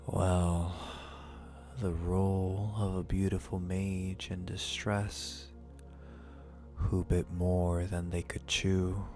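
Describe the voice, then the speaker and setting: Guy acting as ancient dragon in human form, super slow low half whisper, haughty n teasing, asmr roleplay w music behind. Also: gravelly, a little mellow, exaggerated voice. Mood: teasing, softened. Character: ancient proud male dragon in human form, taunting, seductive.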